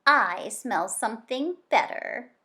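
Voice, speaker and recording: A woman's voice: on the high side, animated, takes it slowly, pushed and bright, exaggerated voice. A woman voicing a brash, greedy male fox in a storybook, with a blunt, wheedling manner. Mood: dismissive.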